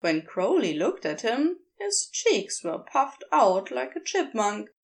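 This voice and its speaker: A woman reading scripted fiction as a narrator, in an expressive storytelling style. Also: on the high side, slow pace. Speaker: podfic narrator.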